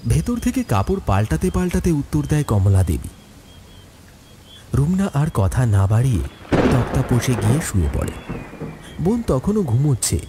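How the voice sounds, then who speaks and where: Expressive man narrating a ghost story. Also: mid-range voice, moderate pace, pauses often, noisy background. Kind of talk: scripted dramatic horror story narration.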